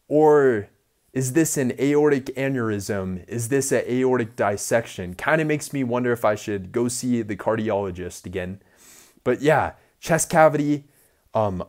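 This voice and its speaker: Young man, nasal, unhurried delivery, earnest upbeat vlogger speaking into an earphone mic in a small room. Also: medium pitch. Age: young adult. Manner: upbeat, earnest, talks to camera. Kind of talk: casual self-improvement vlog. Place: small indoor room by a door. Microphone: earphone headset mic.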